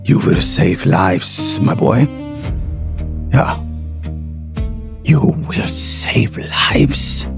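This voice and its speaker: Man voicing a proud professor, hushed and gravelly. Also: lowish voice, expressive, over music, exaggerated voice. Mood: tender, solemn. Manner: halting, deliberate phrasing with rhetorical tags. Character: proud middle-aged male inventor professor, fervent and self-assured.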